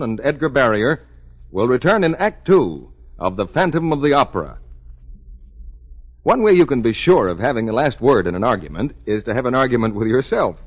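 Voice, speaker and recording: A man working as a radio announcer, giving a polished, persuasive 1940s sales pitch. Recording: dull, rough vintage 1940s radio recording.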